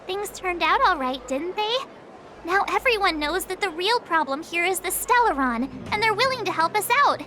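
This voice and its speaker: An actress playing a perky, upbeat young woman adventurer in a video game, chatty and expressive. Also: very high voice, normal speed, slight background noise, heavily acted. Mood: upbeat, reassuring. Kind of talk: animated video game dialogue.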